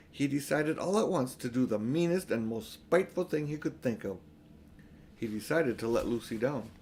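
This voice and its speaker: A man's voice: medium pitch, slight background noise. A man narrating a children's storybook aloud as an audiobook reader.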